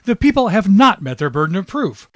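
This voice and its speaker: A man plays a grandiose, flattering defense attorney in a satirical radio play. His voice is high and swoops animatedly through effusive, pompous oratory, with very little room sound. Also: brisk, no room sound, studio quality. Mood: formal. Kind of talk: satirical radio drama courtroom acting.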